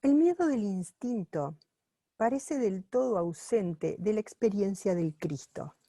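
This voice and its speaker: Slow, halting woman reading aloud. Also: mid-range voice, expressive, warm tone, no echo, clean studio sound. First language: not Italian. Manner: halting, pauses often with fillers and restarts. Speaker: reader translating a book aloud. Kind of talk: unscripted sight-translation reading.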